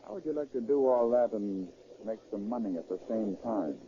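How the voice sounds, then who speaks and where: A man's voice: fairly low pitch, lively intonation, normal speed, dark tone, lightly acted. An actor playing a brash, cajoling crook who persuades his brother, in a 1930s radio drama. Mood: probing, sly. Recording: tinny, scratchy 1930s radio recording.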